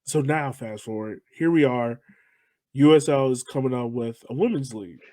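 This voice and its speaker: A man's voice: mid-range voice, moderate pace, a little mellow, no echo. A man with an American accent hosting a casual interview, informal and slangy, often repeating his phrases. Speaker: podcast host.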